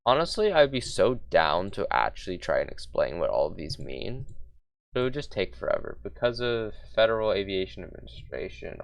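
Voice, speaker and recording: A man's voice: low voice, creaky, rough recording. A young man streaming casually, thinking aloud, reading notes half under his breath and mocking himself, as he says he is losing his voice. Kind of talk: casual livestream, thinking aloud while building a conlang.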